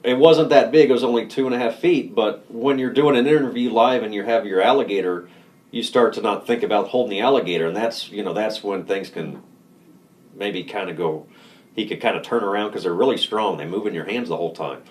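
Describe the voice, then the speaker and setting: A man talking casually in an interview as a lawyer, relaxed, laughing easily and restarting his sentences. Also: on the low side, brisk. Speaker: lawyer, firm co-founder.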